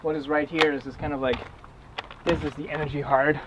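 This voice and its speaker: A man from Germany with a German accent, English his second language, giving a casual halting tour of his tiny house with many fillers. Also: a little high, little pitch movement, background noise. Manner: halting, many fillers and restarts, laughs often. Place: small wooden tiny house, indoors and just outside.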